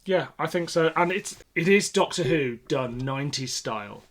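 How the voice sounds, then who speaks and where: A man's voice: a little high, lively intonation, moderate pace, a bit bright. A man co-hosting a podcast, explaining background details in a casual, conversational review style. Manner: informative, explanatory. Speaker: podcast co-host.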